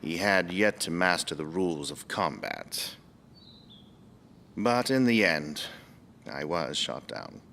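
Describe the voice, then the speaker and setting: An actor playing a proud, composed former air force commander, giving a measured, matter-of-fact documentary interview. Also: male voice, deep voice, very animated, vocal fry, sharp tone, a little hiss or hum, exaggerated voice. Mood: sober, reminiscing.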